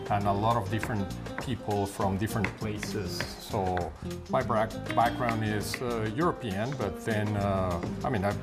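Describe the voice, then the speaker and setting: A man from Mexico City with a Mexican Spanish accent, a guest demonstrating a recipe in a kitchen and talking haltingly with many pauses and fillers. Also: lowish voice, fairly even intonation. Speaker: guest cook. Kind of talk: cooking demonstration. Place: home kitchen. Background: background music and sizzling pan.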